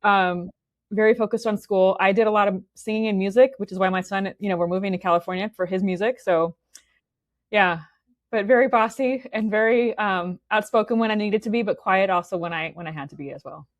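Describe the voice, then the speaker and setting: A woman's voice: medium pitch, brisk, no echo. A woman in her forties from Romania, talking casually as an interview guest, restarting sentences and tagging them with "okay?". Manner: chatty, many restarts and "okay?" tags.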